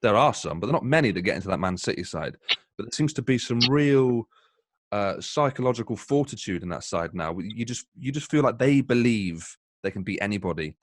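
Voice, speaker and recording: A man's voice: on the low side, lively intonation, a little fast, no room sound. A man hosting a casual football podcast, asking long, jokey questions. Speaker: podcast host.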